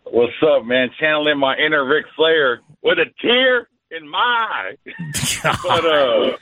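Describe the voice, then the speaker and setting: A man's voice: a little high, expressive, slow, bright, forceful tone, no room sound. Male sports caller on a thin phone line. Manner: slangy, enthusiastic. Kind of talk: casual fan chat. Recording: muffled, narrow phone line.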